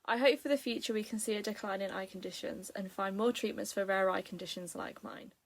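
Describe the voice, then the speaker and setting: A woman in her early twenties giving a brisk, scripted-sounding personal statement. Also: medium pitch, creaky. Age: early 20s.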